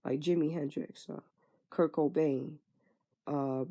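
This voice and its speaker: Young woman, candid vlog venting, frank and casual, gravelly low voice, husky. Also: steady tone, takes it slowly, dark tone. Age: 20s. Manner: frank and conversational, swears casually, trails off with fillers. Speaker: vlogger. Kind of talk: candid personal venting monologue.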